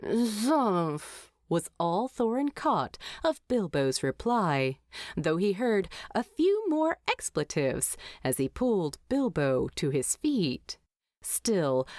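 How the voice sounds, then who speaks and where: A woman's voice: mid-range voice, darkish tone, no room sound, clean studio sound. A woman reading scripted fiction aloud as an audiobook narrator.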